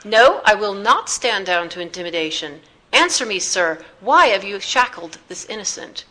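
A woman's voice: on the low side, expressive, moderate pace, heavily acted, low-quality recording. Woman acting out a fierce, indignant Englishman. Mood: defiant anger. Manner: indignant, shouting, formal declamation. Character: angry, righteous middle-aged Englishman, stocky and fierce.

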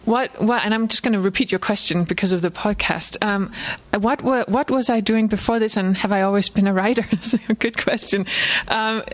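A woman from Denmark, a native Danish speaker with a Danish accent, speaks informally and haltingly as an author addressing an audience. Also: medium pitch. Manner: halting, frequent self-repetition, chuckles often. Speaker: author giving a book talk. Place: live book event before an audience, recorded for a podcast.

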